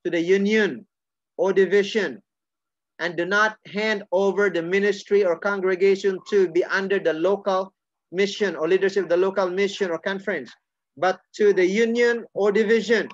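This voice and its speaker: A man's voice: high voice, steady tone, moderate pace, no room sound. A middle-aged man preaching a sermon earnestly, often restarting and repeating phrases. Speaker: pastor. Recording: dull lo-fi sound.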